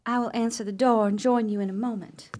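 An actress playing a fretful, fearful sister in an old ghost story, in the style of a radio play. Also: female voice, on the high side, steady tone, fast talker, darkish tone, a little hiss or hum, natural voice. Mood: uneasy.

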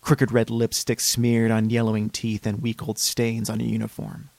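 Man reading as male psychiatric patient, fastidious, polite, unsettled, gravelly, measured horror storytelling. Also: lowish voice, monotone, a little mellow, dry recording. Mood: uneasy, disdainful. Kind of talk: scripted first-person horror story reading.

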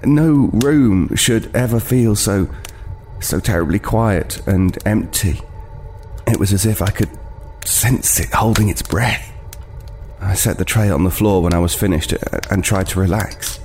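A man's voice: fairly low pitch, unhurried, a little mellow, slight background noise, lightly acted. An actor playing an uneasy homeless man recounting a frightening tale in an audio drama, hesitant and brooding, stumbling over words. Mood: uneasy, haunted. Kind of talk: audio drama first-person horror narration.

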